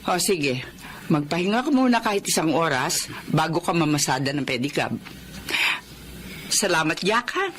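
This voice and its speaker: An actress playing a domineering middle-aged Filipino mother-in-law with a sharp tongue in a radio drama. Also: sits low, animated, normal speed, pauses often, a little hiss or hum. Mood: pleased, softened. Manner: scolding, theatrical.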